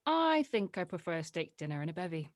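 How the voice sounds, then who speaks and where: A woman's voice: lowish voice, very animated, fairly quick, dry recording. A woman playing a sarcastic, headstrong teenage daughter in an audio drama table read, teasing her way through the lines. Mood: needling, dismissive.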